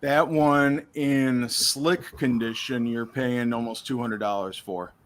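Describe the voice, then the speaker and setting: A man in a casual chat about coins, losing his voice, explaining in a steady, plain way. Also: mid-range voice, slow pace, slight background noise.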